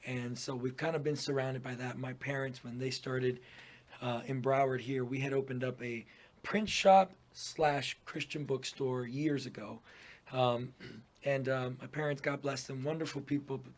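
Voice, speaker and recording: A man's voice: mid-range voice, fairly even intonation, normal speed. A man in his thirties from Puerto Rico, a business owner chatting easily as an interview guest, laughing often and using plenty of fillers. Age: 30s. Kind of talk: casual interview chat.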